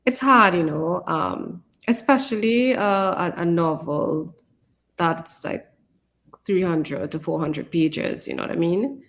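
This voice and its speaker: A woman's voice: on the low side, takes it slowly, fairly bright tone. A woman author chatting casually about writing in an encouraging way, often repeating phrases for emphasis. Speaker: author, co-presenter.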